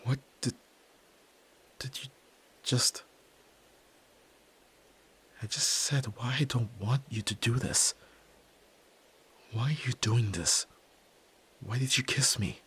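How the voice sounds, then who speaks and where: A young man playing a guarded tsundere nerd turned yakuza in an ASMR roleplay, halting and stammering with breathy sighs, tender underneath. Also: mid-range voice, fairly bright tone, exaggerated voice. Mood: confused, conflicted. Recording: music behind. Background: background music.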